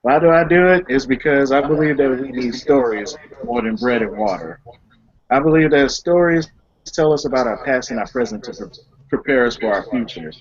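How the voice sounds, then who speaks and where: A man's voice: mid-range voice, normal speed. A man speaking as a guest in a casual panel chat, with a blunt, informal way of talking. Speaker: guest writer and poet. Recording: dull, muffled lo-fi audio.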